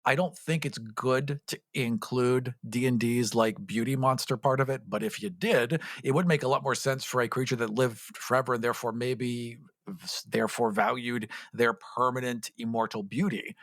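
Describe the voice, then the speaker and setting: A man with a medium-pitched voice co-hosts a casual discussion. He argues his opinions emphatically. The sound is dry and free of echo. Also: fairly even intonation, moderate pace, fairly bright tone.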